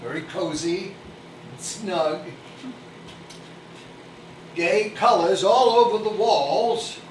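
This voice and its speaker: An actor playing a gentle, doting older Victorian father, a humble toymaker, mild and hesitant in a stage play. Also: high voice, drawn-out words. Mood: fond. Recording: echoey distant stage recording, noisy.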